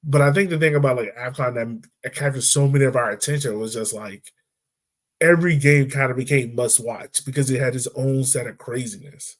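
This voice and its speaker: A man's voice: medium pitch, a little fast. A man co-hosting a casual sports podcast, talking loosely and filling his points with "like" and "right?". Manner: laid-back, chatty, lots of "like" and "right?". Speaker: podcast co-host.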